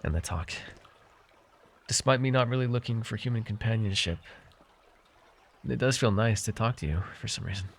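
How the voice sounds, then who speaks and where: A man's voice: fairly low pitch, moderate pace, vocal fry, long pauses, slight background noise. A man playing a chatty, wry and friendly fae potion brewer in a fantasy audio roleplay, talking to the listener with hesitant asides. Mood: tired, friendly.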